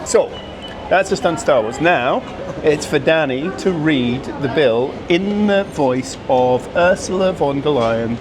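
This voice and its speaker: A man's voice: a little high, animated, slow, music in the background. A man hosting a restaurant review vlog, chatting casually and teasing his friends at the table. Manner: teasing, joking banter. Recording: loud street noise behind.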